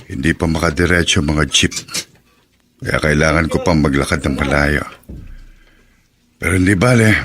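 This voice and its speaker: A man's voice: extremely low pitch, a bit bright. An actor playing a cheerful, doting working-class father with a Filipino accent in a radio drama.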